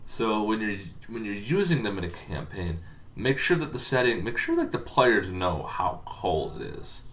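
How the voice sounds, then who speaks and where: A man's voice: fairly low pitch, sing-song, normal speed, a bit bright. A man hosting a casual, informal explainer on tabletop game monsters, talking in a rambling, hesitant way as if thinking aloud.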